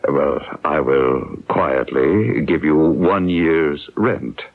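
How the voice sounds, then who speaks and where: A man's voice: very deep voice, slow, creaky, exaggerated voice. An actor playing a hesitant, cagey US President in a radio drama, hemming as he bargains. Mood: bargaining. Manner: hesitant, hemming official talk. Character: hesitant, cagey older male US President making a deal. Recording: muffled, narrow-band old radio broadcast.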